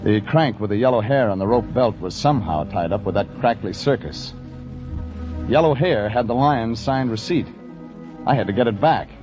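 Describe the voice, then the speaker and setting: A man's voice: lowish voice, animated, normal speed, music in the background, lightly acted. A man playing a terse, dry, wisecracking private detective in an old-time radio drama. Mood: wry, narrating. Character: wry, hard-boiled male private detective narrator. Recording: muffled old radio playback in moving vehicle.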